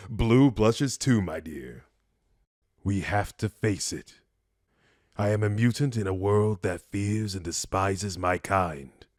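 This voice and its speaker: A man voicing a courtly, earnest mutant scientist with a gentle, formal, eloquent manner. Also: on the low side, takes it slowly, no room sound, studio quality. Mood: courteous, charming. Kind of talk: superhero cartoon character demo.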